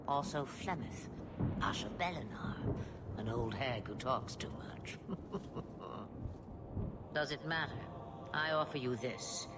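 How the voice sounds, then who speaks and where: A woman's voice: rumbling low, expressive, slow, long pauses, very noisy, exaggerated voice. An actress playing an ancient, cryptic witch in a video game, an older woman with a theatrical, musing, teasing manner. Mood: musing, sly.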